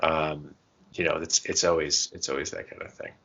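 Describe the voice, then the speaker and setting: A man co-hosting a podcast, chatting casually and telling stories by acting out quick bits of dialogue. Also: rumbling low, fairly even intonation, pushed and bright, husky, muffled recording. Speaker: podcast co-host. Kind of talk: casual chat about tabletop roleplaying.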